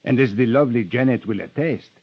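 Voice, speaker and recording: An actor playing a suave, ruthless criminal mastermind with courtly, flowery politeness, flattering and menacing in a golden-age radio drama. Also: male voice, medium pitch, quick pace, husky. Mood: oily, persuasive. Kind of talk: 1950s radio drama acting. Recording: dull vintage radio recording.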